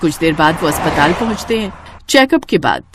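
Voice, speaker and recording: A woman narrating a scripted moral story in an expressive, dramatic storytelling manner. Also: low voice, fairly quick, background noise.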